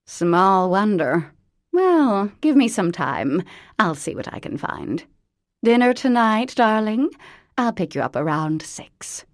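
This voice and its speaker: A woman's voice: mid-range voice, very animated, slow, dry recording, clean studio sound, voice pushed up. A woman voicing a vain, bossy middle-aged society mother in an audiobook, theatrical and dramatic. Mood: haughty. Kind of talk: audiobook character voice.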